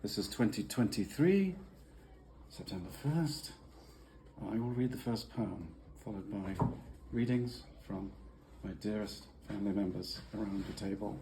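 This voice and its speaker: Man, mellow voice, slow poetry reading, slightly low pitch, faint background noise. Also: lively intonation, gravelly, rough recording. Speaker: host introducing a family poetry reading.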